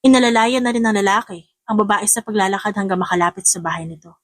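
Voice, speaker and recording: A woman's voice: mid-range voice, fairly even intonation, fast talker, fairly bright tone, no echo, clean studio sound. A woman with a Filipino accent reading a scripted romance story as an audiobook narrator.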